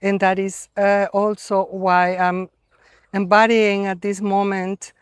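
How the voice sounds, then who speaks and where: A middle-aged woman from Colombia with a Colombian Spanish accent, giving an earnest, reflective spiritual talk to a gathering, with many pauses and fillers. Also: mid-range voice, little pitch movement, smooth, no echo, clean studio sound. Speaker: gathering organizer.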